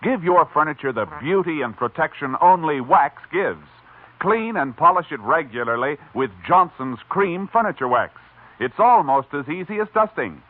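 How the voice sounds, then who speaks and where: A man reading scripted 1940s radio advertising with an emphatic, measured sales delivery. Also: fairly high pitch, animated. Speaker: radio commercial announcer. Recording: tinny vintage radio recording.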